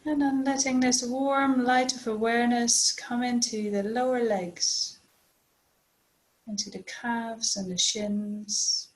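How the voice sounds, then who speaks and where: A woman leading a guided body scan meditation as a teacher, speaking gently and unhurriedly with long pauses. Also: a little high, fairly even intonation, poor audio.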